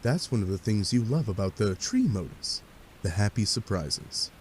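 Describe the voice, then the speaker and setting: A man's voice: on the low side, sing-song, moderate pace, darkish tone, poor audio. A man narrating a scripted second-person story with a wry, dramatic storytelling manner, over faint hiss.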